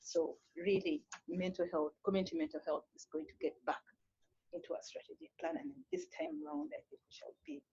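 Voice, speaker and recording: A mental health official speaking on a conference panel, haltingly, with many fillers and restarts. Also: mid-range voice, big pitch swings, gravelly, darkish tone, husky, no room sound, lo-fi sound.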